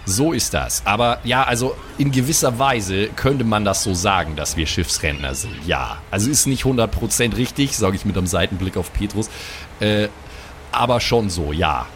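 A German man with a low voice plays an old seafarer in a live tabletop game, gruff and chummy. Faint background noise sits under the recording. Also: animated, normal speed, fairly bright tone. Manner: gruff, chummy, sailor talk with stage directions. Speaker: player voicing an old sailor. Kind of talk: improvised in-character roleplay.

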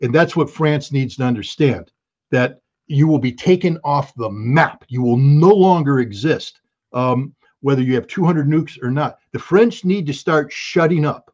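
A man's voice: mid-range voice, unhurried, a little mellow, highs cut off. A man giving blunt, emphatic and scornful political commentary as an interview guest.

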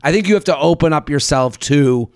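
A man hosting a podcast, reading ads and listener emails aloud in a lively, chatty way. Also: on the high side, fairly quick, clean tone, no echo, studio quality. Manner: animated, reads ads with repeated promo codes. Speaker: podcast host.

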